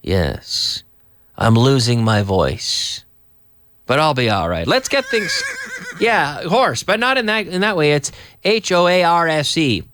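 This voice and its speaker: Hoarse man teaching English, lively pitch. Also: noisy background. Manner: chatty, reads viewer messages aloud and explains slowly. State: hoarse, coming down with a cold. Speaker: English teacher and live-stream host. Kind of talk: live online English lesson.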